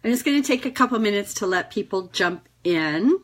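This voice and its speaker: A woman's voice: mid-range voice, lively intonation, a little fast. A woman with a cold giving a casual, encouraging live talk to viewers, chatty and full of fillers. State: has a cold. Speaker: network marketing business trainer.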